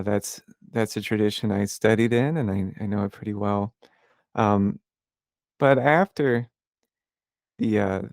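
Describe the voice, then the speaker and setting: Low, smooth-voiced male podcast host. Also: darkish tone, no echo, studio quality. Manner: explains at length with many fillers and restarts. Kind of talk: casual educational conversation.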